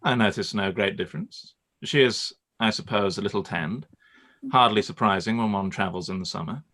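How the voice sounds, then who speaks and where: A man playing a proud, reserved young gentleman in a period radio play, speaking in a clipped, formal way. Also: on the low side, normal speed. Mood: cool, dismissive.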